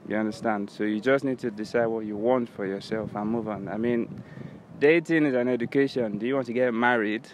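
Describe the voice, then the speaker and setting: A man's voice: mid-range voice, a little fast. A young man with a Nigerian accent casually explaining his view in an outdoor interview. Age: young adult. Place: outdoors beside a campus road. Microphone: handheld device held by interviewer. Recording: faint outdoor background noise.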